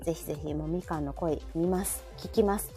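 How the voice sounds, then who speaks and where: A woman's voice: low voice, fairly even intonation, brisk. A woman with a Kansai accent chatting casually as host of a live audio show, asking questions and reacting often. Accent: Kansai Japanese. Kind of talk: casual chat on a live stream. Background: soft background music.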